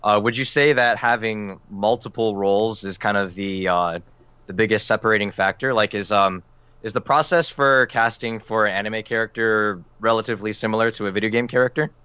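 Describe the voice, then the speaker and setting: Guy asking hesitant podcast questions, lowish crisp bright voice, dry dull sound. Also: steady tone, brisk, no room sound. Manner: hesitant, filler-heavy questions. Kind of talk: casual podcast chat.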